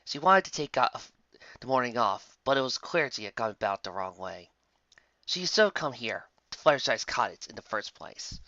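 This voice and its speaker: A man reading a story as a scripted narrator, with dramatic, expressive delivery on a muffled, dry home recording. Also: medium pitch, moderate pace, dry recording. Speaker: fan fiction narrator.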